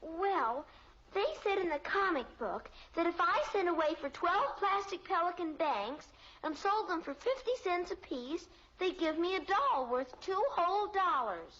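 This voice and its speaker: An actress playing an earnest, guileless little American daughter in a mid-century radio sitcom, innocent and plaintive. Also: female voice, very high-pitched, animated, measured pace, fairly bright tone, full character voice. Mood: innocent, defensive. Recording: dull, noisy old radio recording.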